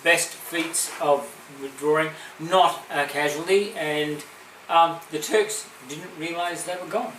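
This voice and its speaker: A man's voice: fairly high pitch, fairly even intonation, slow pace, hardly any pauses, fairly bright tone. Man, podcast co-host, punny, laughs a lot, distant mic, light hiss. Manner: jokey, laughs often, punning. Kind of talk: casual comedic chat. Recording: echoey room, distant mic, faint hiss.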